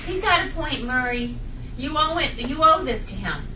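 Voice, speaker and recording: A woman acts a scolding suburban mother with a high, sharp, lively voice. She is insistent and sharp-tongued, in a sitcom table read recorded far from the mic. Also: moderate pace, slight background noise. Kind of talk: comedy script table read. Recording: echoey room, far from mic.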